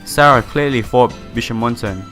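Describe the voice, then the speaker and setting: A man's voice: medium pitch, normal speed, bright, forceful tone, soft background music. A man reading a visual novel aloud in a casual playthrough, stumbling over words now and then and reacting with his own commentary. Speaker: gaming YouTuber.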